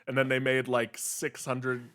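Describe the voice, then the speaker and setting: A man's voice: medium pitch, completely flat delivery, a little slow, a bit bright. A man chatting casually as a comedy podcast panelist, with a wry, nitpicking way of talking.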